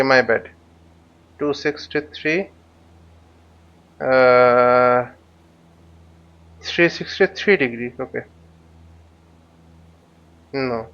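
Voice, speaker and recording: A man giving an unscripted flight simulator tutorial, thinking aloud haltingly and often muttering to himself. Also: mid-range voice, fairly bright tone, muffled recording. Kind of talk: unscripted tutorial commentary while flying a simulator.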